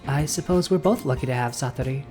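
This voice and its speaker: An actor playing a gentle, self-deprecating young male club president in a visual novel audio drama, soft-spoken and encouraging. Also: mid-range voice, fast talker, faint music behind, close to an everyday voice. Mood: quiet, rueful.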